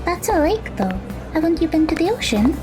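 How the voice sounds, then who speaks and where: Woman as a high, animated teenage schoolgirl. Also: brisk, music in the background. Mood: friendly, curious. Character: cheerful teenage Japanese schoolgirl, easygoing and curious. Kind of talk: audio drama acting.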